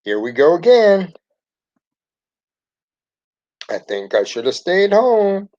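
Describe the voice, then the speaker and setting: A man's voice: high voice, sing-song, normal speed, darkish tone, voice pushed up. A man voicing a worried, reluctant schoolboy in a storybook, anxious and complaining. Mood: dreading. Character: worried, reluctant schoolboy dreading field trips. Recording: dull lo-fi recording.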